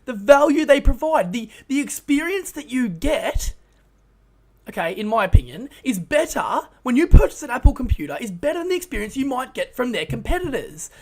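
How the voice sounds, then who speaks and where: A man co-hosting a podcast in casual chat, excitable and emphatic, repeating words as he gets fired up. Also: very high voice, brisk. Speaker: podcast co-host.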